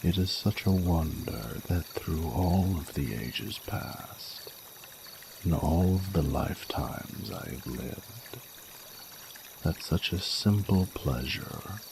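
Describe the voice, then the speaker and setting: Ancient male dragon lover, played by a man: rumbling bottom-register voice, gravelly, regal and flirtatious, formal archaic delivery over trickling water. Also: noisy background, heavily acted. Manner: formal archaic phrasing, slow deliberate pauses, seductive. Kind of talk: romantic audio roleplay, intimate monologue to the listener.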